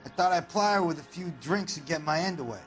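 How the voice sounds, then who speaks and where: An actor playing a surly, defensive ex-convict under questioning, curt and slangy, in a video game crime drama. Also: male voice, fairly high pitch, expressive, fast, faint music behind, lightly acted. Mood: sullen.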